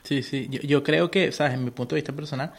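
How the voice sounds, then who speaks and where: A man's voice: a little high, fairly even intonation, fairly quick, creaky. A man being interviewed in a radio studio as a guest artist, talking casually and often asking if he is understood. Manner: casual, keeps asking "you know?". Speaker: guest artist, designer and tattoo artist.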